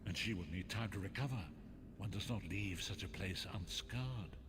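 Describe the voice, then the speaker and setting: Solemn old prophet, cavernous reverb. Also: male voice, deep voice, a bit bright. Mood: grave concern. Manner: measured, formal, cryptic. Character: solemn, cryptic elderly male prophet and mystic. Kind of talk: fantasy video game acting. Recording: long cavernous reverb with background noise.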